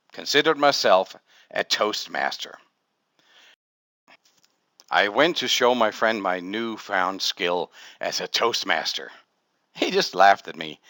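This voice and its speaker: A man's voice: lowish voice, bright, forceful tone, no room sound. A middle-aged man from Denmark, giving a humorous prepared speech full of wordplay at a public speaking club. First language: Danish. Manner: playful storytelling with wordplay.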